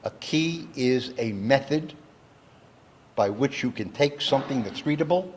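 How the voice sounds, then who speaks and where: A man giving an educational public lecture, explaining terms in a deliberate, step-by-step way. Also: medium pitch, lively intonation, slight background noise. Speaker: lecturer, engineer. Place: talk given live to an audience.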